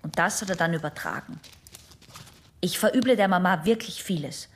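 An actress playing a young female patient in a German radio drama, halting, prim and defensive as she answers. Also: on the low side, slight background noise, lightly acted. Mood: resentful, confiding. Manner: halting, protesting, naive and prim.

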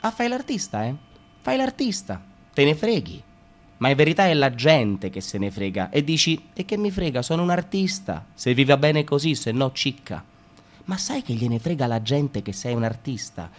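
A man performing a radio theatre reading. He swings from sarcastic loud outbursts to low mutters. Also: big pitch swings, normal speed. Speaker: stage reader.

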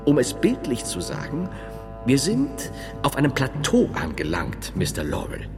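An actor playing a learned, solemn and modest medieval friar and philosopher in a German radio play, speaking in a measured, didactic way. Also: male voice, mid-range voice, huge pitch swings. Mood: solemn, lecturing. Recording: long cavernous reverb, music underneath.

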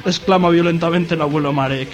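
A man's voice: a little high, steady tone, fast talker. A man reading a dramatised story as narrator.

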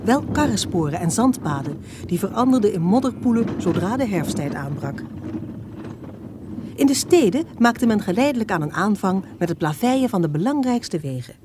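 A woman gives scripted historical narration in a medium-pitched voice. Her intonation is lively and varied, with clear noise in the background. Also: normal speed.

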